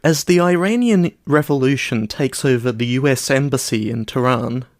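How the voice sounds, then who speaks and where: A man co-hosting a casual film podcast, halting and dryly playful. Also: medium pitch, dry recording, studio quality. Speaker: podcast co-host.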